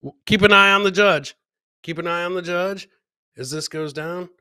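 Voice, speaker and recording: A middle-aged man hosts a casual comedy stream with a bright, slightly high voice. He is chatty and jokey and likes to say a line twice. The sound is dry and close. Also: normal speed, clean tone, no echo. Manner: chatty, jokey, repeats phrases. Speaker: comedy host. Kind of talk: casual live reaction commentary on viral clips.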